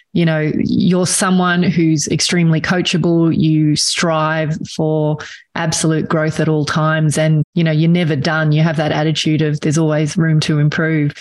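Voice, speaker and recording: A woman, hosting an interview podcast. She speaks directly and pushes with follow-up questions. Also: low-pitched. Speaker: podcast host. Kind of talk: interview host.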